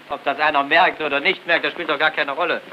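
An actor playing a preachy, mocking model citizen in an old cinema propaganda comedy sketch. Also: male voice, a little high, fairly even intonation, a little fast, natural voice. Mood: mocking, scolding. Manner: lecturing, mocking, emphatic. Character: model loyal citizen, a preachy know-it-all straight man. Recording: muffled, noisy 1940 film soundtrack.

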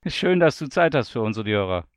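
A man's voice: medium pitch, big pitch swings, fast, no room sound, clean studio sound. A man hosting a casual audio interview and putting questions to a guest. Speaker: host.

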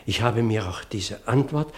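A man's voice: lowish voice, a little mellow, lightly acted. Man playing a fussy, flustered English parson. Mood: aggrieved, formal. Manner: fussy, dramatic, halting when agitated. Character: flustered, pedantic English parson recounting his abduction. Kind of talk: German radio play storytelling.

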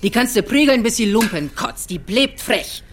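A woman's voice: fairly high pitch, big pitch swings, moderate pace, noisy background. An actress playing a middle-aged farm mother, folksy, blunt and teasing, in a German radio drama. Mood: blunt, wry. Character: blunt, earthy farm mother of a teenage daughter.

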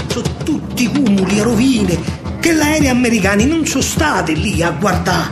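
Slow, animated Roman man declaiming verse. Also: high voice. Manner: declamatory, dramatic, lyrical. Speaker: solo stage actor and storyteller. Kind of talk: theatrical verse monologue in dialect. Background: live musical accompaniment.

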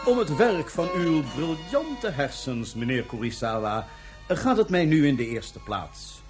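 A man's voice: fairly high pitch, very animated, unhurried, over music. An actor playing a suave, mocking imperial ruler in a Dutch science fiction radio drama, theatrical and condescending in his ornate phrasing. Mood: smug, commanding.

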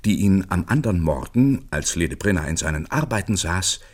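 A man's voice: low voice, expressive, normal speed, breathy edge. A man narrating a scripted literary radio play as storyteller.

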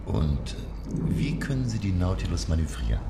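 Extremely deep, gravelly professor. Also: male voice, very animated, noisy background, exaggerated voice. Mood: curious wonder. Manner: formal, wondering, deliberate. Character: inquisitive, astonished male professor, narrating his sea adventure. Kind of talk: German radio drama acting and narration. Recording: echoey room, music behind.

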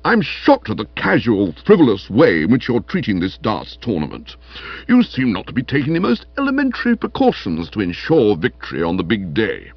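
Comic storybook role, played by a man: stern policeman, accusing and peevish, raised animated pitch, slightly muffled audio. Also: moderate pace, breathy edge, dry recording, heavily acted. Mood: accusing, hectoring. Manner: hectoring, morose, clipped pronouncements. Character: stern bullying young constable, pompous, peevish and accusing.

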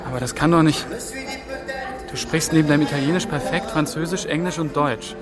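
A man's voice: a little high, fairly quick, over loud music, lightly acted. An actor in a radio play as an earnest, questioning young male official. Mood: disbelieving, concerned.

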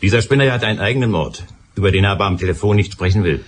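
An actor playing a dutiful police sergeant with a dry, matter-of-fact manner in a German radio play. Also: male voice, lowish voice, expressive, very fast, slight background noise, close to an everyday voice. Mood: dry, businesslike. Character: dutiful, dryly humorous male police sergeant.

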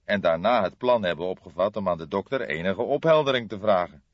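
A man voicing an older police detective in an audiobook, speaking in a deliberate, formal and ponderous manner. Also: low voice, animated, dry recording, lo-fi sound, voice pushed up. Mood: probing. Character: brooding old male police detective, deliberate, weighty, slightly formal. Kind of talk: audiobook character dialogue.

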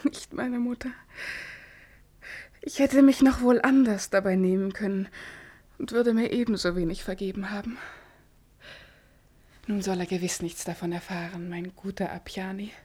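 An actress playing a young, dutiful, anxious bride in a classical German radio play, with soft, hesitant, formal delivery. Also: female voice, medium pitch, fairly even intonation, moderate pace, long pauses, close to an everyday voice. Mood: relieved, self-reproachful. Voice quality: breathy. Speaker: actor.

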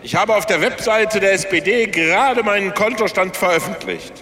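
An actor playing a brash, plain-spoken populist candidate for chancellor in a satirical radio play. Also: male voice, high voice, normal speed, bright, forceful tone. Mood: defiant, frank. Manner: plain-spoken, colloquial, combative. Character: brash, blunt populist chancellor candidate and Schalke football fan. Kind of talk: radio satire political speech. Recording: hall reverb, music behind.

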